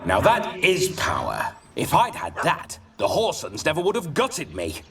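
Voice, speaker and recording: A man's voice: a little high, huge pitch swings, unhurried, a bit bright, far from the mic, faint music behind, full character voice. An actor playing a brash, teasing, mischievous male ghost of a nobleman in a video game, in a theatrical manner. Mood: gleeful teasing.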